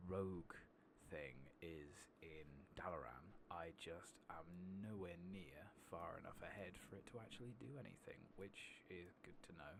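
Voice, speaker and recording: Male ASMR game streamer, extremely low soft voice drifting into whispers, casual and rambling, noticeable noise with ambience and clicks. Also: normal speed, gravelly, few pauses. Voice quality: soft-spoken, often slipping into whisper. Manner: casual, rambling, murmuring to himself. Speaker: streamer playing an online fantasy game. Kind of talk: ASMR soft-spoken and whispered gameplay commentary.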